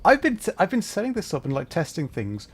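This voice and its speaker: A man's voice: fairly high pitch, huge pitch swings, fairly quick, breathy edge, faint music behind. A man chatting casually to viewers on a livestream, talking in a hesitant, flustered way and often interrupting himself. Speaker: livestreamer, VTuber.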